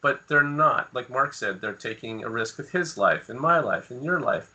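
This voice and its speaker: Very bright, forceful-voiced man in discussion. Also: mid-range voice, lively intonation, normal speed, highs cut off. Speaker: podcast co-host. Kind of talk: casual discussion.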